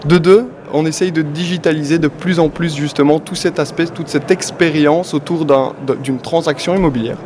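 A man with a Belgian French accent, answering an interviewer as a company guest. He talks with enthusiasm and a sales pitch, with many "euh" fillers. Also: steady tone, moderate pace, few pauses. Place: event venue, among people. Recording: clear background noise.

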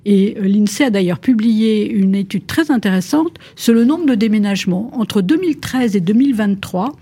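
A woman's voice: mid-range voice, animated, moderate pace, warm tone, breathy edge. A woman commentator on a discussion panel, speaking in an explanatory, analytical way. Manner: explanatory, cites figures.

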